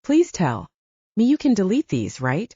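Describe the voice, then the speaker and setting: A woman voicing a gruff but caring male soldier in an audiobook, speaking tersely and bluntly. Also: mid-range voice, wildly swooping pitch, unhurried, dark tone, dry recording, highs cut off, exaggerated voice. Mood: alarmed, angry.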